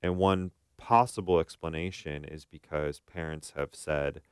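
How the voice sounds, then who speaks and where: Really deep american guy explaining stuff on a podcast, casual, super dry studio sound. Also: fairly even intonation, unhurried, clean tone, no echo. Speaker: podcast co-host and therapist.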